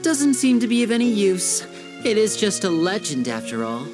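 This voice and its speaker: A woman's voice: medium pitch, very animated, moderate pace, over music, close to an everyday voice. A woman voicing a poised young magician in a video game, a composed and affectionate older sibling with polite phrasing. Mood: matter-of-fact.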